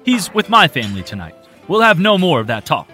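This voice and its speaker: A man voicing a generous, gentlemanly male diner who speaks firmly. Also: fairly high pitch, very animated, a little fast, soft background music, voice pushed up.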